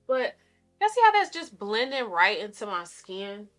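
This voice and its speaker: A woman's voice: mid-range voice, very animated, measured pace, a bit bright, faint music behind. A woman in her thirties chatting casually through a makeup routine, a little nasal, rambling in friendly asides to her viewers. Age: 30s. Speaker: lifestyle vlogger. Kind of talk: casual makeup tutorial chat.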